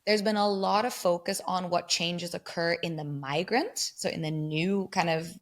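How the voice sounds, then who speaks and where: A woman with a slightly low-pitched voice talks through ideas patiently and thoughtfully, building each point step by step. She speaks as a guest in a relaxed conversation and now and then laughs lightly. Her pitch sits a little below the usual for a woman. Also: normal speed. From England and Germany. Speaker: guest researcher on migrant mental health. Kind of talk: conversational explaining.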